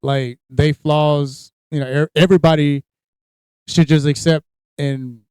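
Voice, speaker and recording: Crude, joke-cracking man, smooth voice. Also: fairly high pitch, unhurried, dry recording, rough recording. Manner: crude, joking, animated storytelling. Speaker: podcast co-host. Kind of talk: casual chat.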